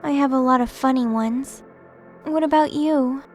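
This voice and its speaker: A woman playing a gentle, caring young female archangel in an audio drama, patient and reassuring in manner. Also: sits high, normal speed, soft background music, lightly acted. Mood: tender, reassuring.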